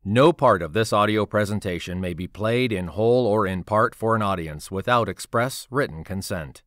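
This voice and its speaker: A man giving a formal, measured scripted announcement as a credits reader. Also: lowish voice, fairly bright tone, no room sound, clean studio sound. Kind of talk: scripted narration.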